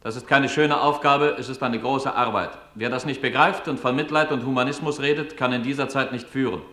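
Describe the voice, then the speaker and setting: An actor playing a ruthless Nazi SS police commander who delivers official reports and speeches in a clipped, authoritative, unfeeling way. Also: male voice, medium pitch, fairly even intonation, a little fast, pushed and bright, lightly acted. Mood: stern, resolute. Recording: roomy echo on the voice.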